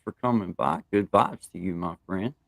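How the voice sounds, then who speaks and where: A man's voice: deep voice, moderate pace, vocal fry, dry recording, studio quality. A man co-hosting a live morning stream who talks in a friendly, rambling way and thanks viewers. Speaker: co-host of a live morning show. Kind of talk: casual live-stream chat.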